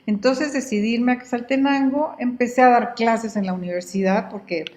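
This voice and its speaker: An older woman from Guatemala, a guest in an interview, telling her life story in an unhurried, conversational way and often repeating words as she thinks. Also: on the high side, a little mellow.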